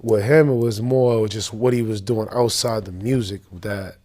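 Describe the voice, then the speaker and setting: A man's voice: fairly low pitch, fairly even intonation, normal speed, mellow. A young man from Boston, a rapper being interviewed, talking in a loose, rambling way with street slang and lots of fillers. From Boston, Massachusetts. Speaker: rapper, guest. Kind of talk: casual interview chat.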